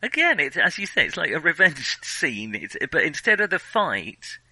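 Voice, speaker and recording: A man co-hosting a film podcast, talking casually in an excitable, halting way as his thoughts tumble out. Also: a little high, husky, no echo.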